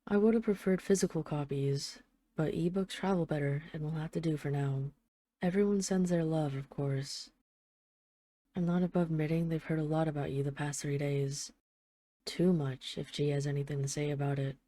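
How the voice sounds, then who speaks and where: A woman's voice: low-pitched, fairly even intonation, normal speed, no echo, lightly acted. A woman playing an affectionate, wry mafia boss who misses her partner, speaking in an intimate, conversational roleplay monologue like a voicemail. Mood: wry, bored, then wistful. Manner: conversational, affectionate, gently self-deprecating, wry. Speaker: audio roleplay voice actor.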